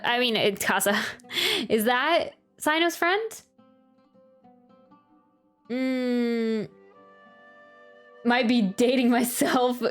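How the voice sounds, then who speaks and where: A woman streaming a game playthrough, reading text aloud and reacting with casual commentary. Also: fairly high pitch. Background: faint game music.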